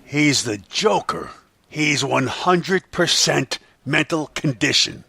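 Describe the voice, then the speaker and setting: A man plays an irate mayor in a comic drama. The voice is gravelly and forceful, swinging animatedly with scorn. The sound is close and dry. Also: on the high side, animated, takes it slowly, dry recording, exaggerated voice. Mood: dismissive. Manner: forceful, berating. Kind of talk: superhero fan dub drama.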